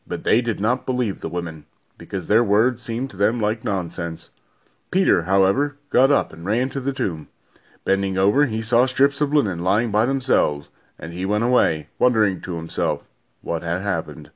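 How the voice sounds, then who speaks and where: A man narrating a Bible reading in a measured, solemn way with deliberate phrasing. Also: lowish voice, lively intonation. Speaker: scripture reader.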